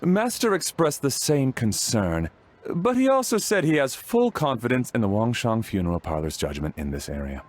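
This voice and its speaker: A man's voice: mid-range voice, sing-song, normal speed. A man playing a polite, dutiful household butler in a video game, speaking formally and obligingly. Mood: polite, agreeable. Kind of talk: English video game voice acting.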